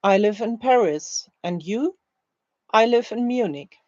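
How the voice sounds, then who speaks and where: A woman language teacher reads short drill phrases slowly and deliberately, leaving careful space between them. Her voice sits at an average pitch. The recording is very dry and a little dull. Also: lively intonation, a little mellow, dry recording. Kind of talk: slow, scripted language-learning drill, read aloud.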